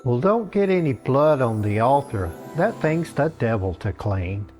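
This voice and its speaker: A man's voice: mid-range voice, sing-song, measured pace, a little mellow, soft background music. A man voicing an elderly, bossy but unflappable priest in a comic fantasy story, matter-of-fact and old-fashioned in his phrasing.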